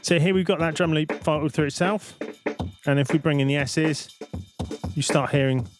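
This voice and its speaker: A man giving an informal, step-by-step software demonstration as a tutorial presenter. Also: a little high, moderate pace, over music.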